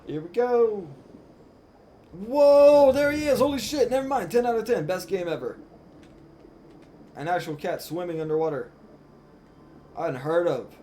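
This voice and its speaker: A man doing live gameplay commentary as a streamer, excitable and given to swearing. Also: sits high, measured pace, long pauses, a little hiss or hum. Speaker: game streamer. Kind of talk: live gameplay commentary, reading dialogue aloud. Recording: music behind the voice.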